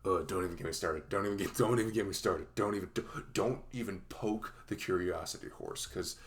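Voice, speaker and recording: A man's voice: sits low, brisk, close to an everyday voice. A man playing a dorky, nervous young best friend in a conversational ASMR roleplay, rambling and stammering with self-deprecating humor. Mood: enthusiastic, rambling.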